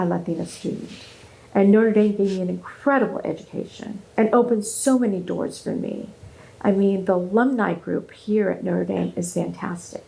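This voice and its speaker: A woman in her fifties from Southern California with German as her first language, speaking as a guest in an earnest, animated interview conversation with frequent restarts. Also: on the low side, creaky, low-quality recording. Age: 50s.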